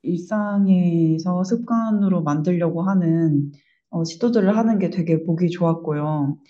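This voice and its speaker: A woman's voice: fairly low pitch, a little slow, dark tone. A woman commenting casually as part of a team discussion. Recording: dull, muffled sound.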